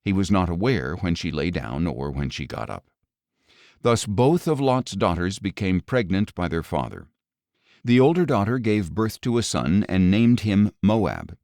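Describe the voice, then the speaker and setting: A man reading scripture as an audio Bible narrator, with measured, expressive storytelling. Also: sits low, no echo, studio quality.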